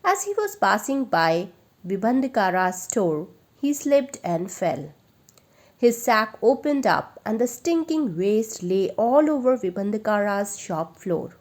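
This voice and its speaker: Slow-paced woman telling a children's story. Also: mid-range voice, very animated. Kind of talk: expressive children's story narration.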